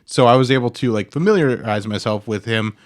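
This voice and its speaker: A man's voice: mid-range voice, fairly quick. A man hosting a podcast in casual chat, with a loose, informal way of talking. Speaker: podcast host.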